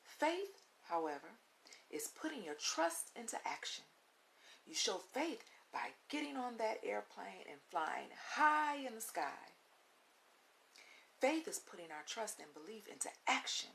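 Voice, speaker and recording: A woman reading a children's book aloud as a storytime host, with deliberate, instructive phrasing and emphatic pauses, on a recording with audible hiss. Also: a little high, sing-song, vocal fry, fairly bright tone. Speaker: read-along storytime host. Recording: audible hiss and background noise.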